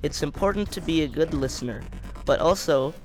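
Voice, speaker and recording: Brief: shy young male podcast host, higher voice, reads scripted questions, faint music behind. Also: lively intonation, fairly quick. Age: young adult.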